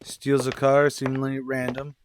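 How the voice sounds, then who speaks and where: A man's voice: mid-range voice, monotone, normal speed, noisy background, close to an everyday voice. A man playing a plain-spoken police detective, the loyal partner, in an old-time radio crime drama. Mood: discouraged, businesslike.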